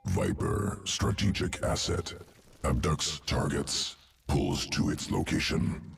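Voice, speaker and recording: A man voicing a cold, clinical alien creature in a video game, gravelly, speaking in clipped, detached, analytical fragments. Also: very deep voice, expressive, takes it slowly, soft background music, heavily acted. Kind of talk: video game character dialogue.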